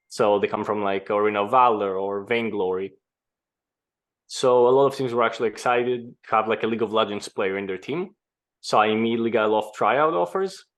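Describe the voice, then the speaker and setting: A young man from Greece, a former pro gamer, answering interview questions in a relaxed, explanatory way. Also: fairly low pitch. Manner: relaxed, explanatory, some restarts.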